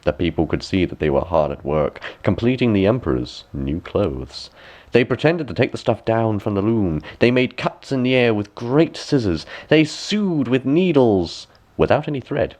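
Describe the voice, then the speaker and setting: Deep-voiced man, very animated storyteller. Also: moderate pace. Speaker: audiobook reader. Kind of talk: expressive fairy tale narration.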